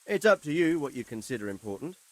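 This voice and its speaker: Casting: male actor for stern nobleman in a historical game, mid-pitched, formal, articulate, used to giving orders. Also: very animated, fast, natural voice. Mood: inquiring, composed. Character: authoritative nobleman lord, measured, grave, stern about justice. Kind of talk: video game cutscene acting.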